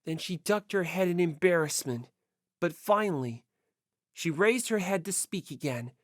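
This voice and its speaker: A woman reading first-person story narration for an audiobook in an expressive style. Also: on the low side, moderate pace, no echo, studio quality.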